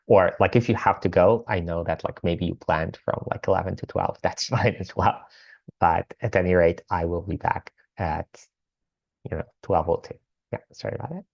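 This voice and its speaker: A man lecturing on code as he writes it, casual and thinking aloud with many fillers, his voice slightly hoarse. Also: sits low, dry recording, highs cut off. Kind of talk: live coding lecture.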